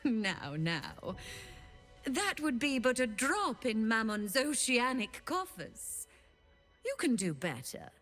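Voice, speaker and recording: Lilting, sly devil merchant. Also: female voice, a little high, wildly swooping pitch, takes it slowly, a bit bright, faint music behind, heavily acted. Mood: teasing. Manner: theatrical, teasing, mock-courteous. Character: sly, greedy female devil diabolist selling infernal bargains. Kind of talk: video game character acting.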